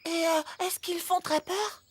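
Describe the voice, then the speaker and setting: A woman's voice: extremely high pitch, fairly even intonation, unhurried. A woman voicing a curious, playful little youngster with a wide-eyed manner in a children's cartoon dub.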